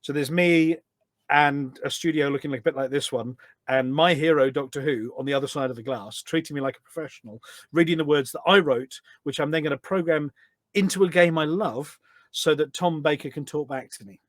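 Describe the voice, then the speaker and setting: A man's voice: on the high side, fairly quick, no echo. A British man telling anecdotes in a casual, enthusiastic, rambling way as a podcast guest. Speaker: podcast guest, voice pack developer. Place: small studio.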